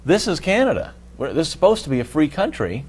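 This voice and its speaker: A man's voice: fairly high pitch, very animated, fast talker, darkish tone, slightly rough. A middle-aged man co-hosting a TV studio panel, giving emphatic conversational commentary. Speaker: TV panel co-host. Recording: faint background hum and noise.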